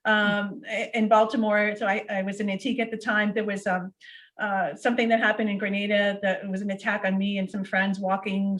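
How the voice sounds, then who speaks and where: A middle-aged woman whose first language is Portuguese, guest in a casual interview, telling her story in an animated way with many fillers. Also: medium pitch.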